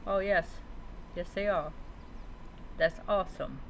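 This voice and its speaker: Against clearly noisy background ambience, a game streamer with a mid-range voice talks casually through the play. The commentary rambles along as if talking to oneself. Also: mid-range voice, slow pace, a bit bright.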